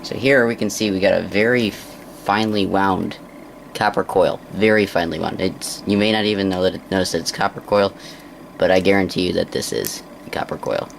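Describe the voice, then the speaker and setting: A man giving an unscripted hands-on demonstration in a halting, casual mutter as he works, on an amateur recording. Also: deep voice, steady tone, creaky, slight background noise. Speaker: presenter of a hands-on science demonstration.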